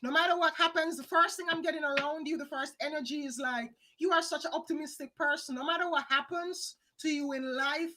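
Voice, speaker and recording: A woman from Jamaica, chatting lively with viewers as a psychic co-host and calling them guys and hon. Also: high-pitched, a little fast, few pauses, noisy background. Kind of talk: live-stream reading, casual chat.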